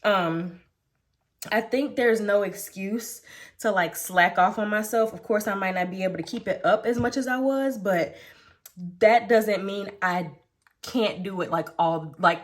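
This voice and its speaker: A young pregnant woman with a nasal, congested voice, casually chatting on her vlog while she oils her hair at her home vanity, rambling with lots of fillers and restarts. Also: medium pitch. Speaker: beauty vlogger. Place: home vanity room, indoors.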